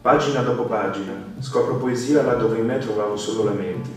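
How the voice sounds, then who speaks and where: Man, literary reading, calm and measured, echoey, music bed. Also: medium pitch. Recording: echoey, distant, music under.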